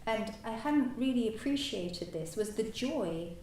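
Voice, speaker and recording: A woman whose first language is French gives a halting personal talk as a new Buddhist order member, full of fillers and restarts. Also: on the high side, expressive, darkish tone. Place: meditation centre hall. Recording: echoey hall, distant mic, faint noise.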